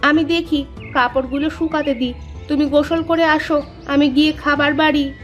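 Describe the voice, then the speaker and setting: A woman's voice: high voice, fairly even intonation, moderate pace, faint music behind, close to an everyday voice. A woman playing a playful, teasing village wife in a cartoon, speaking in a coy, colloquial way. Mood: homely. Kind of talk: cartoon voice acting in a village moral tale.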